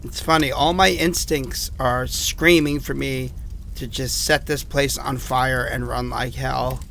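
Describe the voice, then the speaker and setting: A man's voice: mid-range voice, fairly even intonation, unhurried, vocal fry, a bit bright, a little hiss or hum. A man acting an anxious, self-doubting survivor who records rambling, hesitant diary messages in a horror audio drama. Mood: brooding, wry.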